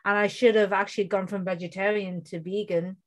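A woman in her forties from the UK with a British accent, a podcast guest speaking casually and earnestly, slowing down to stress her points. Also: mid-range voice, steady tone, crowd noise. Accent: British English. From United Kingdom. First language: Bengali. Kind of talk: casual interview conversation.

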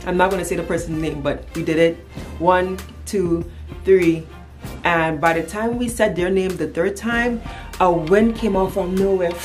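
Woman, thirties, Trinidadian accent, slightly low voice, casual vlog chat, playful emphatic storytelling, faint music behind. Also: moderate pace. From Trinidad and Tobago. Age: 30s. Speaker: vlogger. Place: small furnished room at home, indoors.

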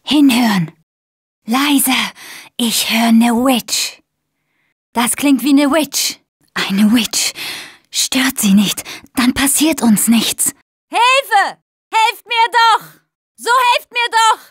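A woman's voice: sits high, big pitch swings, drawn-out words, pauses often, breathy edge, no room sound, studio quality, exaggerated voice. An actress playing a tough young woman survivor in a dubbed zombie video game, speaking in short, urgent callouts. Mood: wary, hushed, then desperate, screaming.

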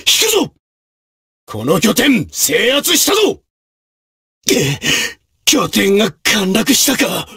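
Gruff, forceful samurai officer, very raspy. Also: male voice, high-pitched, wildly swooping pitch, slow pace, pauses often, exaggerated voice. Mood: grave. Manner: forceful, declamatory. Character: brave armoured samurai officer, gruff and martial. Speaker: video game voice actor. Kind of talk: theatrical samurai battle barks, archaic period speech.

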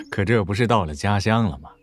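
A man playing a jocular, hard-drinking official with a casual, teasing, wry manner in a Chinese wuxia audio drama. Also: on the low side.

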